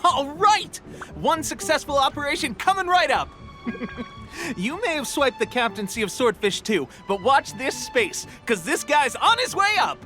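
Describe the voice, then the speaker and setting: A boyish young soldier in a video game, pitched high and bouncing up and down. He is eager, brash and ambitious, with music behind him. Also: normal speed, exaggerated voice, rough recording. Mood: cocky, upbeat. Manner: excitable, brash, earnest.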